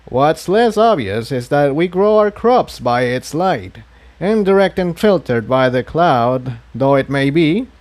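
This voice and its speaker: Guy doing an old unicorn priest for a story reading, kindly, earnest, explains stuff slowly and solemnly. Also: on the high side, animated, darkish tone. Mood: solemn, explanatory. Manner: measured, explanatory.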